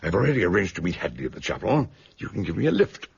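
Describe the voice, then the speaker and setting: An actor playing a brilliant older detective doctor in a radio drama, emphatic and lecturing, with a husky, gravelly voice. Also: male voice, low voice, sing-song, quick pace, dull audio, full character voice. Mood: brisk, instructive. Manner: emphatic, declamatory, expository.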